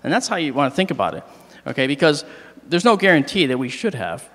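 A middle-aged man with a slightly hoarse voice gives a joking, animated astronomy lecture on stage into a handheld mic. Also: a little high, fast talker. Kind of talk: public lecture with jokes. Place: large hall, on stage. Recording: long reverb of a large hall.

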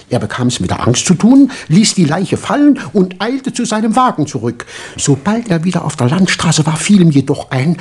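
A confident doctor sleuth in an old radio whodunit. His high, husky voice rises and falls widely as he lays out his case with insistent drama. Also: a little mellow, full character voice. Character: self-assured male doctor turned amateur detective. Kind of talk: 1960s German radio crime play acting.

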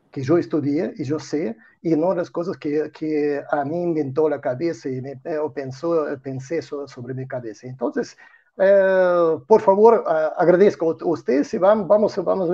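An older man with a Russian accent speaks Spanish, which is not his native language, as a guest on a video call. His voice sits a bit high but sounds dark and dull through the call audio. He stops and restarts often while looking for words. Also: vocal fry. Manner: halting, many restarts and fillers. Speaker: guest, retired colonel. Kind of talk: interview answers in non-native Spanish. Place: home room.

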